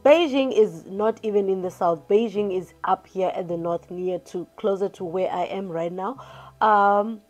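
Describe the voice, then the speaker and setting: A young woman from Zimbabwe, giving casual advice straight to camera in a small room, chatty and animated, often restarting her phrases. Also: medium pitch. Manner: chatty, animated hand gestures, frequent restarts and "you get what I mean". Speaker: vlogger. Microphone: camera in front at close range.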